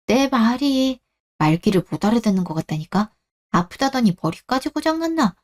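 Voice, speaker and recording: A woman's voice: fairly high pitch, very animated, normal speed. A woman voicing a callous older mother-in-law in a radio drama, with a haughty, reproachful, nagging delivery. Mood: pushy, scolding. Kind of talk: Korean radio-drama story acting.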